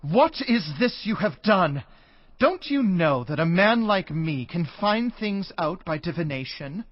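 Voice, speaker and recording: Commanding ancient ruler, stern, formal, scripture drama, dry, slightly muffled recording. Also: male voice, sits high, very animated, unhurried, no echo, heavily acted. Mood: stern accusation. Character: Joseph, commanding male governor of high rank. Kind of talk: dramatized scripture audio acting.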